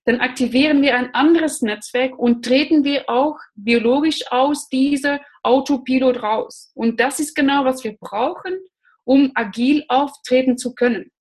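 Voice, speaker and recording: Woman, Belgian accent, mindfulness trainer, fairly high voice, plain explanatory manner. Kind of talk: explanatory talk to an online audience.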